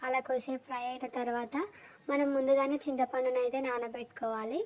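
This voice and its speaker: Female cooking vlogger, highish pitch, very level delivery, explanatory recipe voice-over. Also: level tone, fast, darkish tone. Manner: explanatory, step by step.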